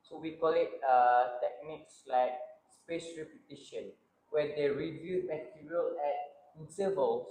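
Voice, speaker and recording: A young man with a Malaysian English accent speaks as a panelist in a student panel discussion, halting and pausing to find his words. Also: fairly high pitch, darkish tone. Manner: hesitant, halting, many filler sounds. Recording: roomy echo.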